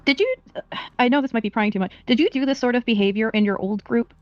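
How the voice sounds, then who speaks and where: A woman on a dry, dull-sounding voice call, speaking fast in a slightly high voice. She presses her questions, repeating and restarting her phrases as she pins down details. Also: dry recording, faint music behind. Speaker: questioner on a call-in stream panel.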